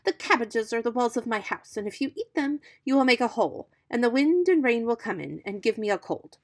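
A woman's voice: a little high, lively intonation, fairly quick, no room sound, voice pushed up. A woman reading a storybook aloud, voicing a frightened little female pig who pleads in distress.

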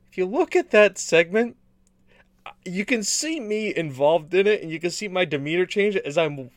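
A man's voice: fairly high pitch, dry recording. A man co-hosting a casual gaming podcast, chatting in a rambling, joking way with repeated and restarted phrases. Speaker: podcast co-host.

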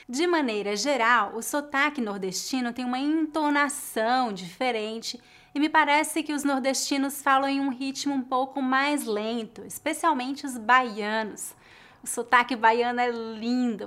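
Brazilian woman teaching, são paulo accent, slightly high voice, calm and clear. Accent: São Paulo Portuguese. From Northeast Brazil. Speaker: language teacher. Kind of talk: scripted educational narration.

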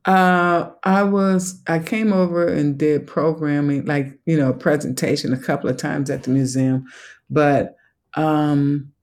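Gravelly Chicago man with a Southern twang. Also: fairly high pitch, flat delivery, measured pace, a little mellow. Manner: thoughtful, enthusiastic, laughs easily. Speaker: museum education director, guest. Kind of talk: conversational interview.

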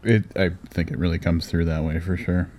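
A male interviewer with a very deep, low voice leads the conversation. He talks haltingly, pausing and hunting for his words, with faint noise behind him. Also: little pitch movement, darkish tone. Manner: halting and hesitant, searching for words.

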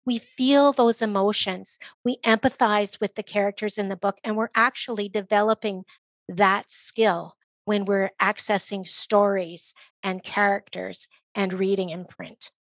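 A woman's voice: medium pitch, steady tone, measured pace, no echo. A woman speaking as an expert guest, answering interview questions in an explanatory, conversational way. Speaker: literacy program guest expert.

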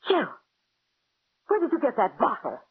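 Casting: guarded, dramatic wife for 1940s radio play, high voice, muffled recording. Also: female voice, big pitch swings, normal speed, husky, full character voice. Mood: alarmed. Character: guarded, anxious wife in a melodrama.

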